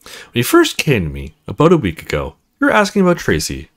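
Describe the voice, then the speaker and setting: A cool, confiding married woman from a visual novel, read aloud by a man in a mid-range voice. She speaks in measured phrases with very wide, expressive swings of intonation. She comes across as frank and self-possessed. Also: mid-range voice. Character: cool, confiding married female neighbor, self-described ruthless cougar.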